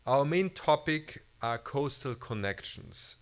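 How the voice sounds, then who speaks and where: A man's voice: medium pitch, expressive, slow pace. A middle-aged man with an Austrian German accent, a museum director giving a formal welcome speech on an auditorium stage at a lectern microphone, often restarting and hesitating. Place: auditorium stage under bright lights.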